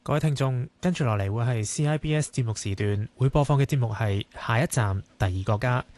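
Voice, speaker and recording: A man announces the next programme block to listeners at a middle pitch. His delivery is brisk and formal. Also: a little mellow, no echo. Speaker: station announcer.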